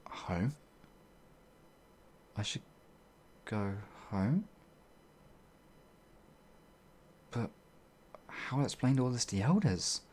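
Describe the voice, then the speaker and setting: An actor playing a bewildered, humble werewolf outlaw in an ASMR fantasy roleplay, with halting, old-fashioned speech and a husky voice. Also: mid-range voice, sing-song, a little mellow, a little hiss or hum. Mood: flustered, unsure. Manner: halting, flustered, pleading, old-fashioned wording.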